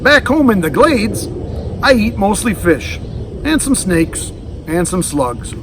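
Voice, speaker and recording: A man's voice: sits high, big pitch swings, takes it slowly, noisy background, voice pushed up, heavily acted. A man voicing a big, hungry female alligator from a children's storybook, eager and demanding. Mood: chatty, impressed.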